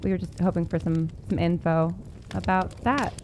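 A woman's voice: fairly low pitch, fairly even intonation, moderate pace, darkish tone, a little hiss or hum, lightly acted. A woman playing a timid but eager young adventurer, speaking in a hesitant, stammering, apologetic way. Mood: nervous, hopeful.

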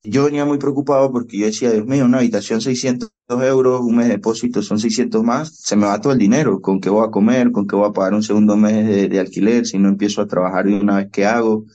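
Venezuelan male guest, young adult, low mellow voice, lively storyteller, lo-fi podcast audio. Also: fast talker, hardly any pauses. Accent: Venezuelan Spanish. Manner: animated storyteller, reenacts dialogue. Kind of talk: storytelling interview.

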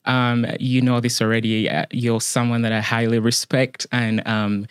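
A young man from Uganda, a guest in an interview, speaking calmly with frequent ums. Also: mid-range voice, smooth, dry recording, studio quality. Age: young adult. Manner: calm and measured, frequent ums. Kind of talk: casual interview.

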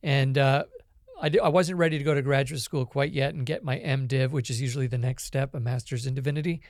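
A Canadian man, a podcast guest, telling his life story in a relaxed, reflective way with many small pauses. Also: mid-range voice, no room sound, clean studio sound. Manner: reflective storytelling with frequent ums. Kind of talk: conversational interview.

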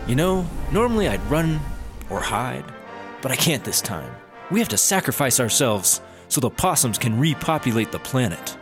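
A blithe, gullible squad partner who thinks highly of himself, in a comic sci-fi audio play. His medium-pitched voice swoops extremely widely. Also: big pitch swings, normal speed, music in the background. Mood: grandly resolute. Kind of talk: sci-fi comedy audio drama acting.

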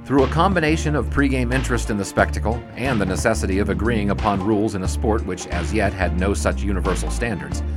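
A man reading scripted historical narration in a measured, formal storytelling manner. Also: fairly low pitch. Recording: music under the voice.

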